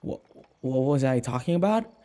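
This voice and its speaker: A man's voice: medium pitch, animated, normal speed, dark tone, lightly acted. A man playing an anxious human servant held captive by a vampire mistress in an audio roleplay, hesitant and stammering. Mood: flustered, anxious. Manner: hesitant, stammering, anxious, with sighs and muttered asides. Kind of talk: audio roleplay acting, spoken to the listener.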